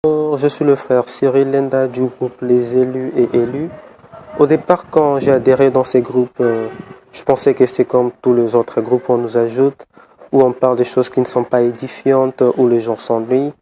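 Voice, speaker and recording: A man leaving an earnest, measured and formal phone voice message. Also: mid-range voice, steady tone, dark tone, slight background noise. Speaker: group member.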